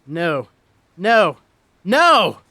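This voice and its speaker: A man's voice: high voice, very animated, drawn-out words, pushed and bright, no room sound, heavily acted. A man playing a tough, protective male giant slayer, intense in manner, in an audio story. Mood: frantic. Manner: hard, intense, growling in threat.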